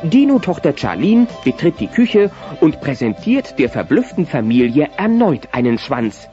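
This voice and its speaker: A man's voice: on the high side, very animated, normal speed, warm tone. A man narrating a German comedy audio play in a storytelling style. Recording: slightly muffled tape transfer. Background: music.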